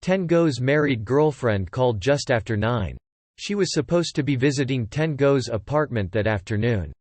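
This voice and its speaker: A man's voice: medium pitch, animated, normal speed, a little mellow, dry recording. A man narrating a scripted audiobook story in the third person.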